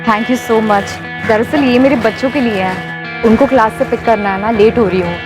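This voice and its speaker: An actress playing a hurried, polite young mother in a TV crime drama. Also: fairly high pitch, over music, close to an everyday voice. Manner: rushed, polite. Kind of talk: Hindi TV crime drama acting.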